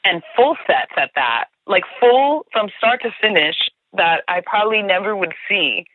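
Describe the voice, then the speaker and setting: A woman's voice: mid-range voice, lively intonation, moderate pace, no room sound. Woman guest, New Jersey accent, rambling and upbeat, recorded over a tinny phone line. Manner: chatty, restarts often, says "like" a lot. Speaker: guest, tour guide. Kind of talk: casual podcast chat.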